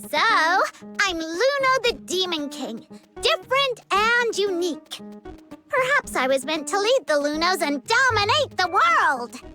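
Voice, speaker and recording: A woman's voice: very high-pitched, very animated, measured pace, sharp tone, heavily acted. A woman voicing a small, childlike horned creature in a video game, boastful and grandiose as a self-styled demon king. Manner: grandiose, cocky. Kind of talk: video game character acting. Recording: music behind the voice.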